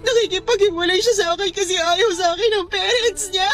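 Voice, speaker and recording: A woman's voice: extremely high pitch, fairly quick, fairly bright tone. A woman with a Filipino accent playing a heartbroken, pleading young woman in a radio drama, whining tearfully. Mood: sobbing, distraught. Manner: whiny, tearful, emotional delivery.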